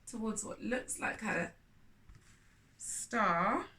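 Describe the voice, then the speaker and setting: A woman storytime host with a bright voice and lively, swooping intonation. She reads a picture book aloud to children at a slow pace, dropping in chatty asides. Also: medium pitch.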